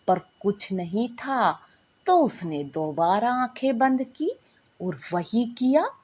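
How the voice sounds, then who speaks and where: A woman narrating a romantic bedtime story in an expressive storytelling style. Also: on the high side, slightly rough. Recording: dull lo-fi recording.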